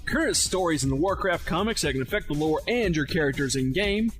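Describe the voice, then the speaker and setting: A man's voice: fairly high pitch, animated, brisk, music in the background. A man reading a scripted podcast intro as announcer. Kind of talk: scripted promotional narration.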